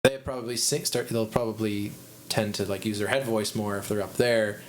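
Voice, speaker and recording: A man's voice: fairly low pitch, steady tone, moderate pace. A man with a Midwestern American accent, hosting a podcast and chatting casually, rambling and restarting his sentences as he thinks aloud. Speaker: podcast host, musician. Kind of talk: casual chat.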